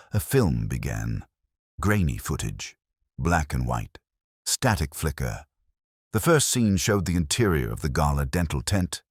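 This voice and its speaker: A man reads a mystery story with a measured, deliberate delivery. His voice is extremely deep, yet it moves with lively intonation. The sound is close and dry, with no room around it. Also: slightly rough, no echo. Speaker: audiobook narrator. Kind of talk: scripted mystery story narration.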